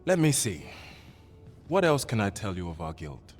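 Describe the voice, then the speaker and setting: Formal guild clerk, echoing hall. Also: male voice, lowish voice, big pitch swings, normal speed, soft background music. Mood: courteous, helpful. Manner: formal, archaic, apologetic phrasing. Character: courteous male guild clerk in a fantasy town. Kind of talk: scripted fantasy game dialogue. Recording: long hall reverb, noisy ambience behind.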